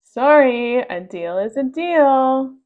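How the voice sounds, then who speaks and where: A woman's voice: high-pitched, lively intonation, slow, phone-quality sound. A woman voicing a slick, pushy pug who sells cars, with gushing, emphatic patter in a storybook reading. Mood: dismissive. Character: pushy slick pug car salesman, shameless crook.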